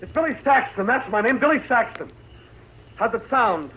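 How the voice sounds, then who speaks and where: A man's voice: very high voice, animated, moderate pace, pushed and bright, exaggerated voice. An American man acting in a 1950s radio western as a desperate, defiant young condemned outlaw, bitter and strained. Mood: defiant, anguished. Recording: faintly noisy vintage radio drama recording.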